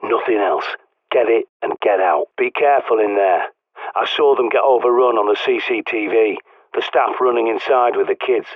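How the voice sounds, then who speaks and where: An actor playing a gruff, bossy middle-aged survivalist in a video game, blunt and sardonic, heard through a radio filter. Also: male voice, lowish voice, normal speed, no room sound. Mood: gruff, cautioning. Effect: radio transmission filter. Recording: tinny, band-limited radio with static.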